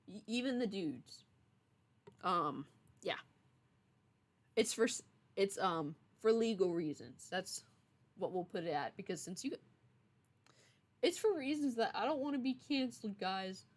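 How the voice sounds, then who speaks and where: A young adult woman streamer chatting casually with her viewers in a playful, teasing, mock-stern way. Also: mid-range voice. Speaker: streamer, game writer. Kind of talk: casual livestream chat.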